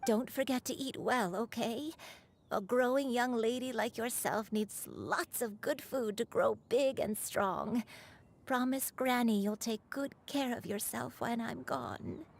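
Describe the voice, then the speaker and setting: A woman's voice: on the high side, unhurried, vocal fry. A woman voicing a loving old grandmother, a crane spirit in human form, in a tender, soothing game performance. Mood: tender, reassuring. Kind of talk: video game voice acting.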